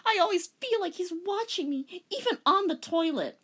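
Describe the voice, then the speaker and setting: An earnest young half-demon anime girl, put on by a woman reader. Her voice sits high and swoops about, theatrical and sugary polite, as in a fanfiction reading. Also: animated, normal speed, fairly bright tone.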